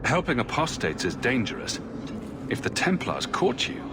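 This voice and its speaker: An actor playing a steady, dry-witted male adventurer hero in a game, firm and matter-of-fact. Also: mid-range voice, normal speed, heavy background noise. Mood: earnest.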